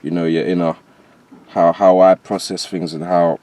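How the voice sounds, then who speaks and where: A man's voice: deep voice, level tone, moderate pace, mellow. A man from Jamaica with a transatlantic accent, talking casually and in slang as a rapper guest in an interview. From Jamaica, later moved to England. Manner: conversational, slangy.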